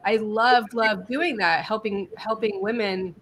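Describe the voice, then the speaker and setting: A woman talking casually as a guest, her voice a little scratchy from a passing cold. She rambles warmly with fillers and restarts. A faint hiss sits under the recording. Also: medium pitch, steady tone, a little slow. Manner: chatty, laughing, many fillers and restarts. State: getting over a cold, slightly scratchy. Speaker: guest, business coach. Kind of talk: casual live-stream interview.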